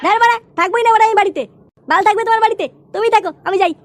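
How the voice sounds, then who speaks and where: An adult voicing a cheeky, foul-mouthed young boy in a Bengali comedy cartoon, with a whiny, brash, squeaky delivery. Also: racing. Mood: indignant. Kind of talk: comic cartoon dubbing in colloquial Bengali.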